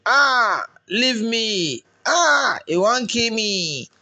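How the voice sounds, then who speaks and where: A man's voice: high-pitched, very animated, very slow, pushed and bright, voice pushed up, exaggerated voice. A man voicing a wicked woman tenant who wails and pleads in terror, in a storytelling narration. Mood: terrified, wailing. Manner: crying out, pleading.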